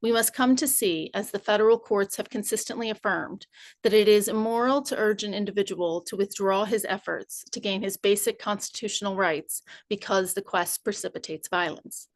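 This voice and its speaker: A woman from the community reading a written text aloud in a measured, deliberate, formal recitation. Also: medium pitch, no echo.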